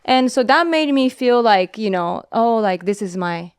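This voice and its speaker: A woman guest telling her story in a casual interview, hesitant and soft-spoken, with many pauses and restarts. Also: a little high, expressive, clear, resonant tone, no echo, clean studio sound. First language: English.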